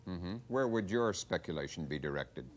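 Middle-aged guy anchoring tv news in a studio, lowish voice, measured and formal. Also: lively intonation, slight background noise. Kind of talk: scripted news reading and interview questions.

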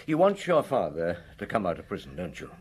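An actor playing a patient, probing police chief inspector in a radio drama, questioning in a measured way. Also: male voice, sits low, huge pitch swings, darkish tone.

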